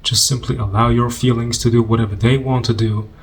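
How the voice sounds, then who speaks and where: Man guiding a meditation, mid-pitched voice. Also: flat delivery. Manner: unhurried, informal, gently instructing with pauses. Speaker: meditation guide. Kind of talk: guided meditation, conversational spiritual talk.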